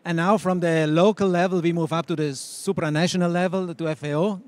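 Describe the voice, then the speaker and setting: A man's voice: a little high, fairly even intonation, normal speed, a little mellow, no echo. A man moderating a conference panel, giving short, upbeat introductions.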